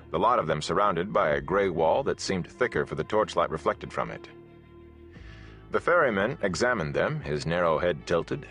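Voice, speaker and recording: A man reading a fantasy novel as an audiobook narrator, with a measured, dramatic storytelling delivery. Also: sits low, fairly bright tone.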